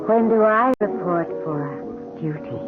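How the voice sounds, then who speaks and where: A woman's voice: medium pitch, unhurried, natural voice. A woman playing a widower's knowing mistress, teasing and drily skeptical, in an old-time radio mystery. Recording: muffled narrowband radio drama, music behind.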